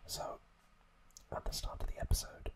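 A man hosting ASMR, narrating in a hushed whisper with drawn-out phrasing, close to the microphone. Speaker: ASMR host.

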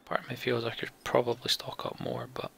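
A man's voice: medium pitch, completely flat delivery, a little fast, vocal fry, a bit bright, slight background noise. A man doing let's play commentary on a game, reading text aloud and talking through choices.

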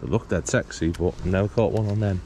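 Deep-voiced British man chatting outdoors. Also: brisk, warm tone. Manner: informal, colloquial, musing aloud with frequent questions. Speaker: angler presenting a fishing video. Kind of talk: casual chat to viewers while fishing. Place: outdoors beside a small pond. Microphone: body-worn action camera. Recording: clear outdoor ambient and traffic noise.

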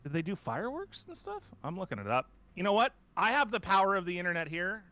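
A man's voice: high-pitched, big pitch swings, sharp tone. A man in his forties from Canada, co-hosting a casual podcast chat from a home art studio, joking and bantering. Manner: joking, playful, quick banter. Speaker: podcast co-host. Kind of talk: casual chat on a live stream.

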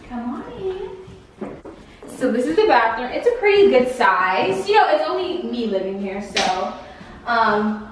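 A woman's voice: fairly high pitch, recorded from a distance, noisy background. A woman in her twenties giving a chatty, playful apartment tour vlog, recorded on a camera mic. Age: 20s. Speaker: vlogger. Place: empty apartment with bare walls, indoors. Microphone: camera mic, handheld and at a distance. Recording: echoey empty rooms, camera held away.

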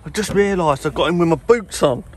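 A man in his thirties with an English accent, chatting casually and excitably as a trucker vlogging from his cab. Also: high-pitched, brisk. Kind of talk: casual vlog chat to camera. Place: lorry cab and loading yard. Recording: clear vehicle and outdoor noise.

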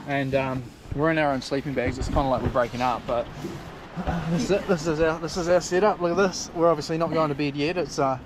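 A man's voice: fairly high pitch, fairly even intonation, fast talker. A man in his thirties with a New Zealand accent, chatting casually to an action camera on a small boat at sea. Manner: laid-back, chatty, says "eh" at sentence ends. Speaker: fishing vlogger. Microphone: action camera close up. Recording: outdoor boat noise and water.

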